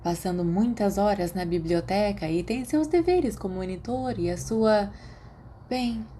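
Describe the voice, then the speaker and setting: A woman voicing a kindly, concerned school nurse in an audiobook, speaking in a gentle, soothing, coaxing way. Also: mid-range voice, measured pace, a little hiss or hum, lightly acted. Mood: gently pressing.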